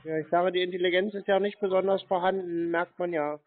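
A man's voice: high voice, steady tone, fairly quick, no room sound. A man on a casual phone call, talking in a terse, mocking and provocative way. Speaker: scambaiter on phone calls. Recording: narrow phone-line sound.

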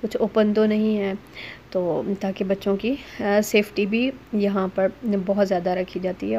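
Casting: female family vlogger, mid-pitched voice, conversational and hesitant, recorded with clear ambient noise behind. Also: mellow. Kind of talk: casual vlog narration. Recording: noisy ambient background behind voice.

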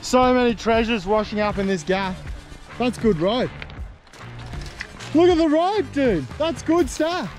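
A young man, vlogging a fishing trip from an island shore and shouting excitedly when fish bite. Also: extremely high pitch, measured pace, pauses often, faint music behind. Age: young adult. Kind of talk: casual outdoor fishing vlog. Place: outdoors on rocky island shore by the sea. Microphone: action camera.

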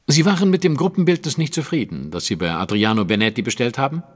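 An actor playing a cool, analytical and self-assured detective in a German audio drama, with precise, formal diction. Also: male voice, medium pitch, big pitch swings, fast, no room sound, clean studio sound. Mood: probing.

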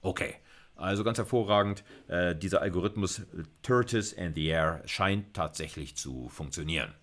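A man's voice: sits low, moderate pace, fairly bright tone. A middle-aged man giving an informal recorded lecture, thinking aloud and putting questions to himself as he explains. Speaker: university computer science lecturer.

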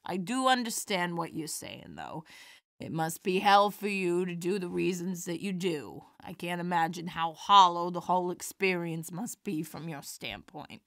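A woman playing an elderly, kindly widowed stand-up comedienne in an audio drama, chatty and animated. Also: on the low side, moderate pace, no room sound, studio quality. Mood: sympathetic, candid.

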